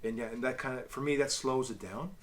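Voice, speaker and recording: A man hosting a casual review chat, speaking haltingly and often repeating and correcting himself. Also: medium pitch, a little hiss or hum. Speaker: host of a review show.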